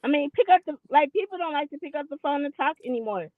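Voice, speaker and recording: A woman's voice: high voice, animated, fast talker, no room sound, narrow, tinny audio. A woman in her late forties chatting candidly and loosely in a casual talk, self-deprecating and rambling as she explains herself. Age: 40s. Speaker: podcast guest. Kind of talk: casual chat.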